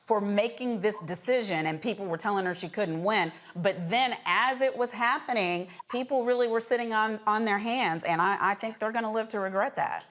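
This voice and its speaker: A woman's voice: mid-range voice, normal speed, hardly any pauses, fairly bright tone. A woman hosting a news panel show, talking in a conversational presenter's style.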